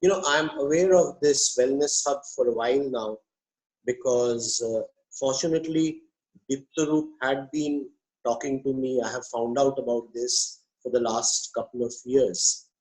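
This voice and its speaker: A man, a psychiatrist giving an online welcome speech, hoarse from a cold and speaking in a measured, deliberate way over a video call. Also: mid-range voice, a little mellow, poor audio. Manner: measured, deliberate, pauses with fillers.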